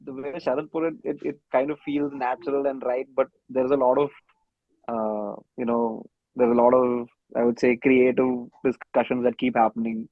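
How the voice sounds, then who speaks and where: A man with an Indian accent speaking as a band musician in an interview, measured and matter-of-fact with some hesitation. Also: medium pitch, little pitch movement, no echo. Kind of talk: conversational interview answer. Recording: dry but dull lo-fi audio.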